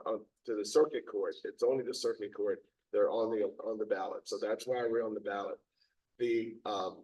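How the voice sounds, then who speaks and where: A hoarse man in his fifties, a judge, speaking at a community meeting with many pauses and restarts. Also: lowish voice, a little mellow, far from the mic, low-quality recording. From Maryland, USA. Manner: halting, many ums and restarts. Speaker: circuit court judge. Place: meeting room.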